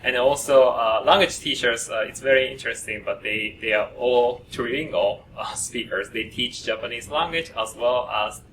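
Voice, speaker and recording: A man presents at a student orientation, pausing often and filling gaps with hesitations. His voice has a bright edge. He sits some way from the microphone, with faint noise behind. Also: mid-range voice. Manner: halting, frequent uh fillers. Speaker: program coordinator at an orientation. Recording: distant mic with faint noise.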